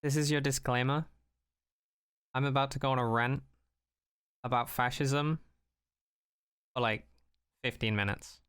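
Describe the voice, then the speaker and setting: Male podcast co-host, bright crisp voice, sardonic and opinionated, animated casual delivery. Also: mid-range voice, unhurried, long pauses.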